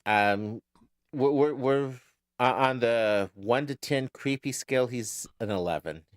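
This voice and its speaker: A man with a mid-range voice chats casually as a podcast co-host. He starts thoughts haltingly, doubling back on words, and the sound is dry and close, with little room around it. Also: mid-range voice, dry recording. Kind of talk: casual TV episode recap chat.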